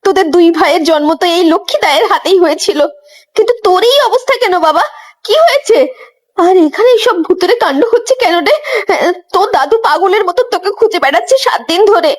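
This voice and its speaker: A woman playing a motherly village midwife in a horror audio story, with theatrical, drawn-out exclamations. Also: very high-pitched, animated. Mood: shocked, concerned.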